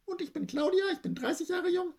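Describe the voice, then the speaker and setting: A woman playing a founder in an amateur comedy sketch, talking in a high, eager tone. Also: animated, fairly quick, vocal fry, darkish tone.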